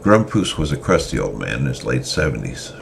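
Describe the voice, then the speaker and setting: A man narrates a story in a semi-dramatic reading, often stopping on hesitant fillers as he goes. His voice sits extremely low, with a gravelly fry. A faint background noise stays under the whole recording. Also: sing-song. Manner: unhurried reading with frequent hesitations.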